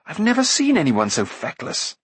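Guy doing a grumpy jealous young guy, sulky and snappy, kinda husky. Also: on the high side, huge pitch swings, normal speed, a bit bright, no echo, voice pushed up, heavily acted. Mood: grumbling. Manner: sulky, indignant grumbling. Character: young assistant pig keeper, proud and easily nettled.

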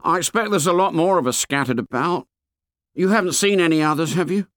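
A man's voice: on the high side, brisk, a bit bright, no echo, clean studio sound, voice pushed up, lightly acted. A man voicing a fat, anxious schoolboy with asthma who chatters eagerly in ungrammatical speech. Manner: eager, working-class boy's grammar.

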